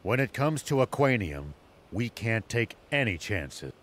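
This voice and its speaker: A man's voice: fairly low pitch, big pitch swings, unhurried, fairly bright tone. A man playing a cautious, resolute old soldier in a video game, firm and serious.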